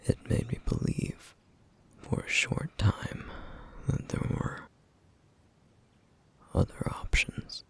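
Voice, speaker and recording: A man playing a somber, duty-bound, protective flame demon in an ASMR roleplay, speaking in a hushed, halting, formal way with a rough, breathy voice. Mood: remorseful. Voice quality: breathy, husky, rough whisper. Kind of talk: ASMR roleplay, intimate scripted monologue.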